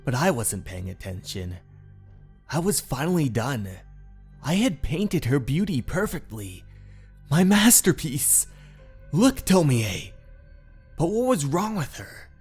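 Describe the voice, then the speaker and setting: Man acting a slow, obsessed, unhinged painter. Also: on the high side, very animated, a little mellow, husky, soft background music, heavily acted. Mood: frantic elation. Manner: slow, theatrical, brooding, with anguished outbursts. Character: obsessed painter, unhinged, infatuated, confessing a murder. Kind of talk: dramatic first-person horror story narration.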